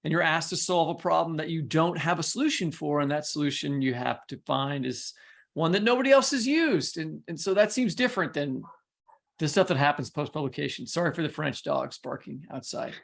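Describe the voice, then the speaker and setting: Creaky, higher-pitched man co-hosting a podcast. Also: expressive, fairly quick. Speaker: podcast co-host and interviewer. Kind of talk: casual podcast conversation between colleagues.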